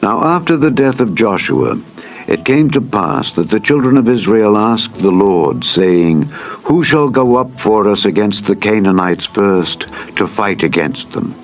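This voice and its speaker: A man's voice: fairly low pitch, animated, a little slow, lo-fi sound. A man narrating a dramatized Bible reading in a solemn, formal manner. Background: music.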